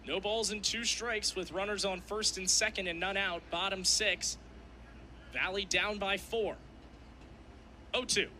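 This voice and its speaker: A man's voice: high voice, steady tone, unhurried, pauses often, bright, forceful tone, a little hiss or hum. A young man doing radio play-by-play of a live game in clipped, descriptive phrases. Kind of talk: live sports commentary.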